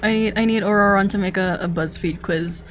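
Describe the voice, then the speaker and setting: A woman's voice: mid-range voice, normal speed, soft background music. A young adult woman giving casual, chatty, giggly live commentary as she plays a game. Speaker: game streamer.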